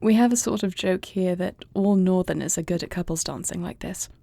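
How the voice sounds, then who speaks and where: Woman playing a soft, mellow, creaky-voiced earnest young princess. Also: on the low side, brisk, dry recording. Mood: fond, playful. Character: earnest young princess and warrior heir, anxious yet tender. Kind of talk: intimate audio roleplay acting.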